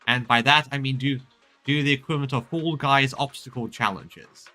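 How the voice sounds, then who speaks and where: A man giving casual let's-play commentary, halting as he thinks aloud and reads game text. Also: mid-range voice, steady tone, sharp tone. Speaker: game streamer. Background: game music.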